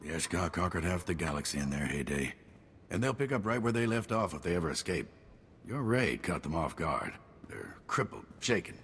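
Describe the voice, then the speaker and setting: Gravelly, weary army general. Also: male voice, low-pitched, very animated, brisk, fairly bright tone, a little hiss or hum, full character voice. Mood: grim, resolute. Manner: clipped, dry, battle-weary military talk. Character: gruff, weary, wry male army general under siege. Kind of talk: video game cutscene acting.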